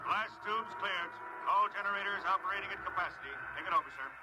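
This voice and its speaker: A man playing a brisk starship engine room crewman in 1950s radio drama style. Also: high voice, close to an everyday voice. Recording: very noisy vintage 1950s radio recording.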